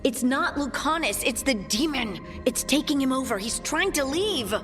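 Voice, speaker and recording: A woman's voice: high-pitched, lively intonation, moderate pace, a bit bright, soft background music. An actress playing a plucky, caring woman adventurer in a fantasy video game, with long reverb on the voice. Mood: alarmed.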